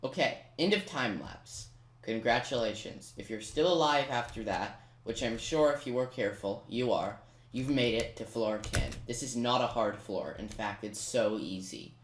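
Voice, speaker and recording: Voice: medium pitch, normal speed, roomy sound, a little hiss or hum. A gaming commentator giving casual step-by-step gameplay guidance, informal and talking directly to the viewer. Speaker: video game commentator.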